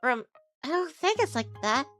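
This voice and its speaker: A woman's voice: high voice, big pitch swings, moderate pace, fairly bright tone, soft background music, voice pushed up. A woman voicing a flustered, defensive teenage schoolboy footballer in a visual novel, stammering as he protests. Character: flustered, defensive male student footballer, teenage, easily embarrassed.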